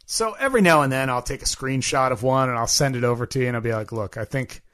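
A male podcast co-host talks in a loose, conversational way. His voice sits at an average pitch for a man, and he has a joking, self-deprecating manner as he chats. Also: fast, fairly bright tone. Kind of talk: casual chat.

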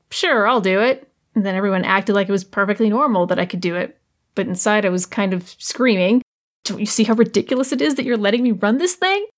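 A woman's voice: mid-range voice, lightly acted. A woman playing a sarcastic, rambling teenage sound editor in a comedic audio drama. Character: teenage sound editor hosting a show, sardonic, self-doubting rambler.

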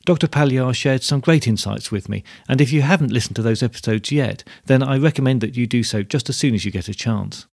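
A man's voice: medium pitch, brisk, vocal fry, few pauses, a little mellow, no echo, studio quality. A man reading scripted narration as the host of a podcast.

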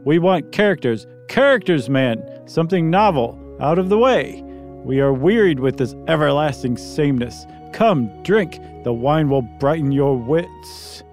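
A man voicing a blustering, cruel king who treats everything as a joke, in a booming, drawn-out theatrical delivery. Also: lively intonation, a little mellow, soft background music. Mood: boisterous. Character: blustering, cruel male king, a tyrannical joker.

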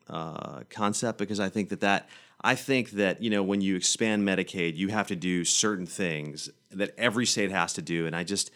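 A man's voice: deep voice, a bit bright. A man from Tennessee, a guest physician, giving earnest interview answers with many restarts.

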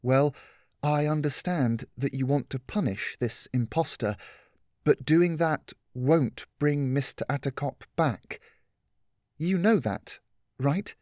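A man reading a story, voicing a caring adult woman who talks softly and patiently. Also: on the high side, slow, no room sound, clean studio sound, voice pushed up. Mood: gentle questioning. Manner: soft, patient, comforting. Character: gentle caring adult woman, calm and reassuring.

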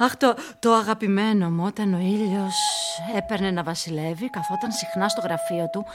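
An actress playing a studious investigator piecing together a dark mystery, composed and precise in radio-play style. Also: female voice, mid-range voice, loud music behind the voice, natural voice. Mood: wistful storytelling. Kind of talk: theatrical radio play narration.

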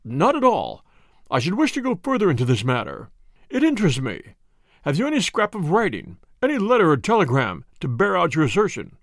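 A man's voice: a little high, huge pitch swings, fairly quick, no echo, studio quality, exaggerated voice. A man voicing a haughty, cunning old professor in an audiobook story, formal and imperious, with a fierce temper. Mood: angry asperity. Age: older. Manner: formal, imperious, sharp questioning. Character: haughty old male professor, cunning, turning to screaming rage.

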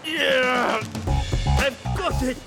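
An actor playing a hearty, dogged climber in a fantasy audio drama, speaking deliberately and with effort. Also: male voice, extremely high pitch, wildly swooping pitch, vocal fry, heavily acted. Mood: hearty relief. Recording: loud music behind.

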